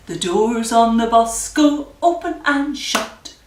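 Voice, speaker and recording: Slow, high-voiced woman in an echoey room. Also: lively intonation, a little hiss or hum. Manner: slow simple instructions and encouragement for small children. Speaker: library story time leader. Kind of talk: children's nursery rhyme singalong. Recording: echoey room, distant microphone.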